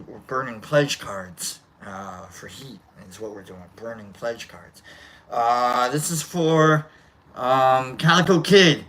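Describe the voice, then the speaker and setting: Nasal voice, bright tone, casual streamer chatter, rambling, greets viewers one by one. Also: mid-range voice, a little hiss or hum. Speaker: live stream host. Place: outdoor lounge by a fire pit.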